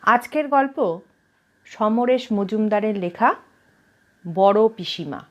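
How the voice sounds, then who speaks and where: A woman reading a story aloud as a narrator, in an expressive storytelling style. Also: mid-range voice, takes it slowly, lo-fi sound. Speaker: story reader, channel host.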